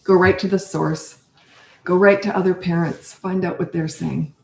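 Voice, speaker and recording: An older woman, a parenting coach, talking blunt and chatty with a raspy voice on a live-stream Q&A. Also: on the low side, steady tone, moderate pace, echoey room, low-quality recording.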